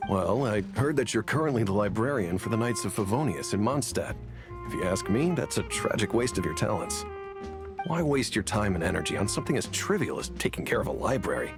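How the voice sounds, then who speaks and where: A smooth, persuasive professor, with a low, expressive voice. He coaxes and flatters while game music plays clearly behind him. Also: fairly quick. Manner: coaxing, smooth talker. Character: persuasive male academic professor.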